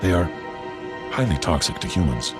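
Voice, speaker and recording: An actor playing a humble alien scientist in a video game, speaking formally and precisely with measured apology. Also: male voice, low voice, very animated, over music. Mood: grave, deferential. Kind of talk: video game dialogue acting.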